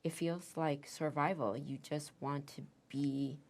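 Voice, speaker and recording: A woman's voice: low voice, fairly even intonation, measured pace. A woman in her fifties with an American accent, recalling her past in a thoughtful, hesitant interview. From Korea, raised in the United States. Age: 50s. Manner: soft-spoken, thoughtful, with hesitant restarts. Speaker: interviewee, Korean adoptee. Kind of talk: reflective interview.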